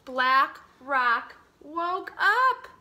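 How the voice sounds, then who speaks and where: A woman reading a children's picture book aloud as a teacher would, dramatically, dropping to a hush and swelling for emphasis. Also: high-pitched, big pitch swings, drawn-out words. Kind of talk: expressive children's storybook read-aloud.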